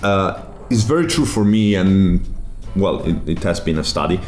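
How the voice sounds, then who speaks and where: A man's voice: low-pitched, expressive, moderate pace, a little mellow, reverberant, soft background music. A man, co-hosting a podcast in a casual, explanatory way. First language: Italian. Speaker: podcast co-host, comedian. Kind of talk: casual chat.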